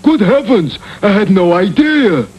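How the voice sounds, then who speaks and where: A man's voice: high voice, very animated, measured pace. A man voicing a big, gullible ogre in a cartoon, on a muffled, very noisy old soundtrack. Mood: astonished. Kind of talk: comic cartoon voice acting.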